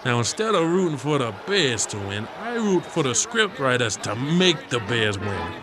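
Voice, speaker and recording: A man playing a grumpy, folksy, conspiracy-minded father-in-law in a scripted comedy sketch, gruff and emphatic. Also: medium pitch, big pitch swings, moderate pace, over music, close to an everyday voice. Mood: gleeful. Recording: noticeable background noise.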